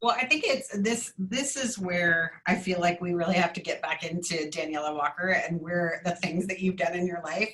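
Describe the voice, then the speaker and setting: A woman's voice: lowish voice, moderate pace, few pauses, fairly bright tone. A woman hosting an interview in a casual, conversational way. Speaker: interview host.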